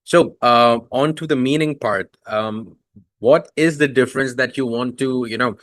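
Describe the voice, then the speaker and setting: A man's voice: mid-range voice, a little slow, fairly bright tone, no room sound. A man whose first language is Urdu, hosting a podcast and asking long, rambling questions full of fillers. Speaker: podcast host. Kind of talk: podcast interview.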